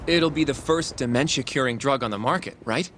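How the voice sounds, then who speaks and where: Earnest young lawyer, mid-pitched, played by a man. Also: a little fast, fairly bright tone, slight background noise, lightly acted. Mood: curious. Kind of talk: English game dub acting. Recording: music underneath.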